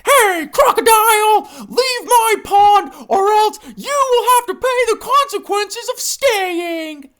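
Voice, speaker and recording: A man doing a shrill bossy hippo. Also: animated, takes it slowly, hardly any pauses, exaggerated voice. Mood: angry shrill. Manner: shouting, very high squeaky put-on voice.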